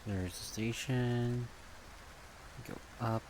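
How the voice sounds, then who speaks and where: A man talking softly in a casual, halting ASMR ramble. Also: medium pitch. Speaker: ASMR creator rambling about a project. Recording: steady rain-like background noise.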